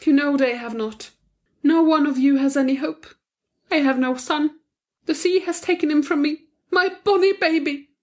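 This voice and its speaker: Woman voicing a grieving mother, high voice, bitter, intense, audiobook. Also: fairly even intonation, normal speed. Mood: despairing. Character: grief-stricken proud middle-aged mother.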